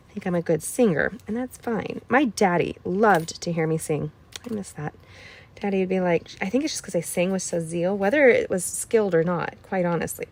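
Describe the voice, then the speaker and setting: A woman with a slightly scratchy voice hosting a card-making tutorial, chatting casually with playful asides and dropping into whispers. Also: mid-range voice. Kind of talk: casual craft tutorial chat.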